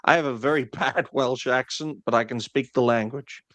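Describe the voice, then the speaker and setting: A man's voice: mid-range voice, moderate pace, fairly bright tone, no room sound. A man chatting on a podcast panel, sarcastic and crude as he comments. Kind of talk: casual chat.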